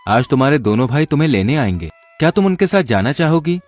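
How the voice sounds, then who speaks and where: A man's voice: mid-range voice, sing-song, fast, faint music behind, natural voice. A man voicing a cunning, confident young village man in a cartoon moral story, speaking persuasively.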